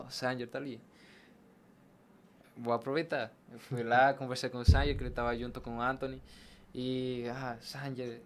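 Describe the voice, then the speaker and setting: Young man, Brazilian Portuguese accent, casual storyteller, podcast guest, mid-pitched voice, noisy room ambience. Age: young adult. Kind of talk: casual personal storytelling in an interview.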